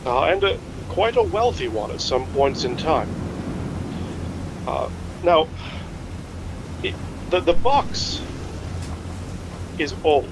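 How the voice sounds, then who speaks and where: A man playing a learned, hesitant history scholar in a naturalistic fiction podcast, speaking in a halting, pedantic way. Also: fairly high pitch, very animated, background noise, heavily acted. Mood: thoughtful, explanatory.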